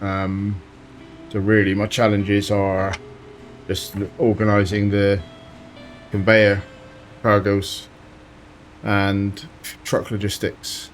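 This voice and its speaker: A man with a slight sore throat giving relaxed commentary while playing a driving game, thinking aloud as he goes. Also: on the low side, takes it slowly, pauses often, soft background music. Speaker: let's play host. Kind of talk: casual gameplay commentary.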